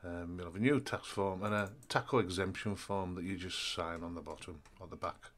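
A man's voice: deep voice, fairly even intonation, a little fast, fairly bright tone, a little hiss or hum. A middle-aged man, chatty and matter-of-fact, walking through paperwork in a casual home vlog, a little throaty from a chest infection. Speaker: van life vlogger. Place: home kitchen indoors.

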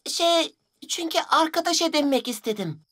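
An actor voicing a mischievous, excitable young boy magician in a dubbed children's cartoon. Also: high-pitched, normal speed. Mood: shy, defensive. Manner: excitable, defensive, pouty.

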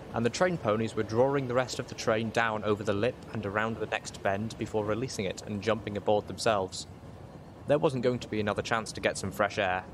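A man's voice: on the low side, noisy background. A man reading a first-person story aloud as scripted audiobook narration. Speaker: audiobook reader, show host.

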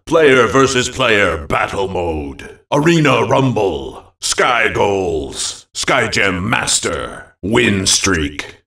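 A bombastic male video game announcer delivers short, grand calls in a slow, theatrical way. His pitch swings widely and has a gravelly edge. It suits a fantasy arena. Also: a bit bright, echoey room, recorded from a distance, heavily acted. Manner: theatrical, emphatic, clipped phrases. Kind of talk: dramatic arena-announcer voice lines.

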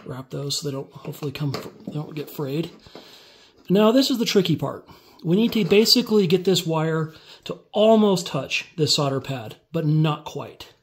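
A man walking through a hands-on build step by step in a relaxed, casual tutorial, filmed on a phone over a workbench indoors. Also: fairly high pitch, normal speed. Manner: relaxed step-by-step explaining while working. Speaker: DIY tutorial maker.

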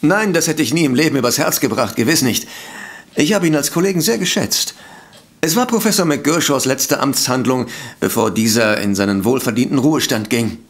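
A man's voice: medium pitch, animated, a little fast, lightly acted. An actor playing a polite, defensive male sanatorium director in a detective radio play, speaking formally and hesitantly. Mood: regretful. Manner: formal, hesitant, self-justifying. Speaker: voice actor in audio drama.

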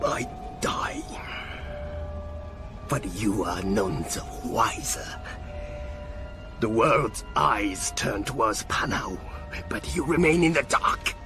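Game villain: grandiose commander, taunting, slow delivery, music underneath. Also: male voice, on the low side, expressive. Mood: dying, bitter. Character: gloating, theatrical Japanese military villain. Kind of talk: video game cutscene acting.

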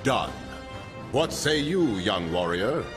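An actor voicing a grand, courtly male raptor king in a game cutscene, speaking formally with booming proclamations. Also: big pitch swings, takes it slowly, over music. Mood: regal.

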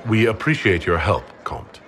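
A composed heroic leader in a fantasy game. His voice is low and moves with lively inflection, and he speaks directly and steadily. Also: normal speed. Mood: composed, probing. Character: steady, direct heroic commander, adult man. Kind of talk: video game drama acting.

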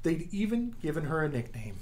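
A man narrating a radio drama in a suspenseful storytelling style, with deliberate dramatic pauses. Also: a little high, sing-song, a little mellow.

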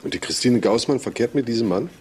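A man's voice: medium pitch, animated, fast, darkish tone, close to an everyday voice. An actor plays a brusque male police boss in a crime drama. His commanding voice moves up and down a lot. Faint noise lies behind it. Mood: inquiring. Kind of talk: German TV crime drama acting.

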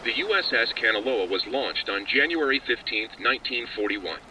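A man voicing a formal radio guide, reading measured historical narration through a radio filter in a game. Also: medium pitch, animated, sharp tone. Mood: formal, factual. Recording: noisy, distant radio broadcast.

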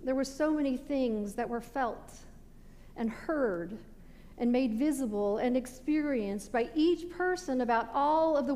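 A middle-aged American woman, a pastor, giving a sermon with measured, deliberate phrasing that falls away at sentence ends. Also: high voice, mellow. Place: indoor stone-walled church sanctuary at a pulpit. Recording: reverberant church hall with background noise.

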